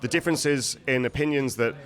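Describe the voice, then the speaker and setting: A man's voice: medium pitch, fairly quick, fairly bright tone, faint music behind. A man hosting a casual walking interview, chatty and self-deprecating, asking his guest questions. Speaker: interview host.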